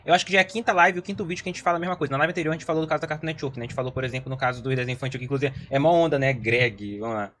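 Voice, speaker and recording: A man's voice: mid-range voice, very fast, hardly any pauses, a bit bright. A man with a Brazilian accent giving casual, slangy and rambling reaction commentary as a livestream host.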